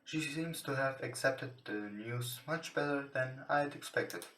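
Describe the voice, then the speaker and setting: Male let's play host, non-native English speaker, halting read, forward bright tone, echoey room with music behind. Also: medium pitch. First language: not English. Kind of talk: reading visual novel text aloud. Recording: roomy echo, distant from mic. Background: soft guitar game music.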